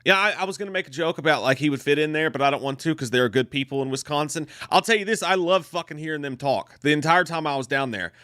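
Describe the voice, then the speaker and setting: A slightly hoarse man co-hosting a livestream, reading out viewer comments and riffing playfully in casual, joking chat. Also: a little high, fast, hardly any pauses, sharp tone, no room sound, studio quality. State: hoarse after drinking.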